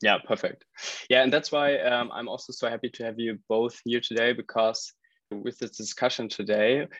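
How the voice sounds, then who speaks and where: A man's voice: mid-range voice, steady tone, normal speed, a bit bright, no echo, highs cut off. A man hosting a podcast, interviewing guests in a conversational style. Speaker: podcast host.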